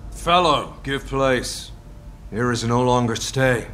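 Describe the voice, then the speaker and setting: An actor playing a servile, fearful prison keeper, deferential and hesitant, in a Shakespearean radio play. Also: male voice, medium pitch, slow, loud music behind the voice, close to an everyday voice.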